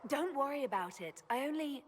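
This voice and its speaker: An actress playing an earnest, uncertain young heroine in a fantasy game. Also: female voice, sits high, expressive, a little fast. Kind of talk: dramatic fantasy game acting. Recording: faint ambient noise.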